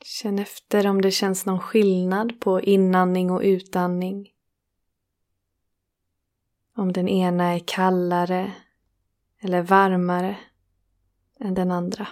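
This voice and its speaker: A woman leading a guided relaxation meditation, speaking slowly and soothingly with long pauses between phrases. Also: lowish voice, flat delivery, a little mellow.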